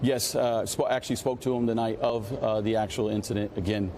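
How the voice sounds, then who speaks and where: Earnest American man, lowish voice, street noise. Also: fairly even intonation, a little fast, a little mellow. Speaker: union president being interviewed. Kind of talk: news interview answers. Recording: clear outdoor city noise behind.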